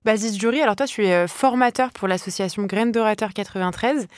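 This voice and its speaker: A woman's voice: mid-range voice, fast, no room sound, clean studio sound. Woman host asking probing questions. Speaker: podcast host. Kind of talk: interview questions.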